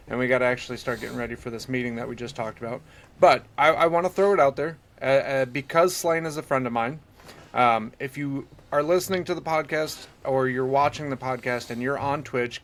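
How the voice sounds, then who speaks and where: A man's voice: fairly high pitch, fairly bright tone. A man with a soothing voice, co-hosting a casual podcast and talking in a friendly, supportive way. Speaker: podcast co-host.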